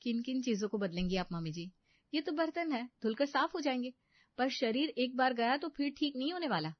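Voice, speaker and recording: A woman's voice: sits high, expressive, brisk, no room sound, dull audio, pitched above the performer's own voice. Woman acting a smug, scheming young daughter-in-law. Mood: defensive. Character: scheming, self-satisfied young daughter-in-law cutting corners in the kitchen.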